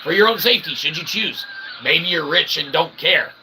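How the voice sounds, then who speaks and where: A man's voice: a little high, expressive, normal speed, bright, forceful tone, low-quality recording. A man hosting a vlog in a casual, rambling monologue, slangy and sarcastic with joking asides. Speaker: vlog host and self-published author. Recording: faint background noise.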